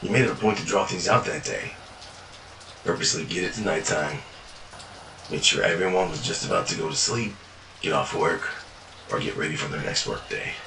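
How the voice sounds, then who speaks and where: A man with a very deep voice tells his story in a tired, world-worn way, swearing as he goes. He sits back from the mic in an echoey room. Steady ambient noise runs underneath. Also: brisk, vocal fry, pauses often, far from the mic. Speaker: narrator. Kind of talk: first-person fiction journal narration.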